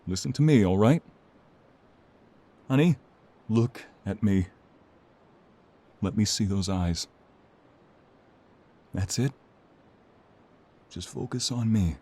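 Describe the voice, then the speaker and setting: A man acts out a protective mobster husband in an audio drama. His voice is low and husky, slow and full of earnest, intense feeling. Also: mellow, exaggerated voice. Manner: slow, intense, emotional pleading. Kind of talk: dramatic audio role-play acting.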